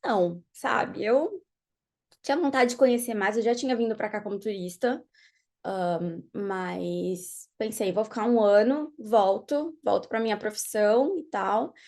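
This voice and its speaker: A young woman from Brazil, a podcast guest, chatting casually and telling her story in an animated way, laughing often. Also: mid-range voice, unhurried. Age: young adult. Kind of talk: casual interview chat. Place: podcast studio.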